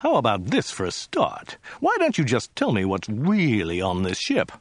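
A man voicing a brash, flippant two-headed alien who talks loud and slangy. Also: medium pitch, wildly swooping pitch, moderate pace, slightly rough, no echo. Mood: cocky. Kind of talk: audiobook character voice.

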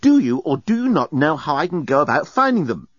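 A man's voice: on the high side, huge pitch swings, brisk, fairly bright tone, dry recording, muffled recording, voice pushed up, heavily acted. A man voicing a prim, cross woman who speaks in a firm, formal, scolding way in an audiobook story. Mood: insistent.

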